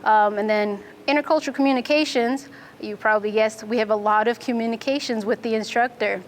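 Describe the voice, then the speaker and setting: Woman, young adult, from Fiji, clear and methodical, slight hiss behind. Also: a little high, fairly even intonation, brisk. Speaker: Navy nuclear instructor and student. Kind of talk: interview answers.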